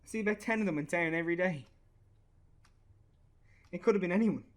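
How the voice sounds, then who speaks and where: An actor with an Irish accent playing a grieving, bitter young father in a naturalistic radio play, halting and sweary. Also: high voice, natural voice. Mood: bitter anger. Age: young adult.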